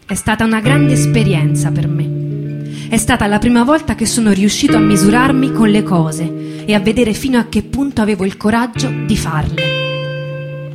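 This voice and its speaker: Earnest, proud young worker played by a woman, halting and insistent theatrical testimony over prominent guitar music. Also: fairly high pitch, natural voice. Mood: reflective. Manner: halting, repeats words, insistent, colloquial. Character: Gerardo, earnest proud young male metalworker remembering a riot. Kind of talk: theatrical monologue of personal testimony.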